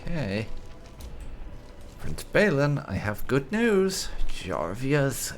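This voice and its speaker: A man's voice: mid-range voice, huge pitch swings, drawn-out words, soft background music. A man hosting a roleplay game video, giving commentary and speaking in character in a wry, musing way. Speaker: gaming video host.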